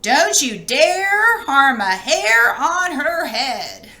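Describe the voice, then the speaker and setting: Woman as a tough, high-voiced old granny. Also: big pitch swings, extremely slow, pushed and bright, heavily acted. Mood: fierce.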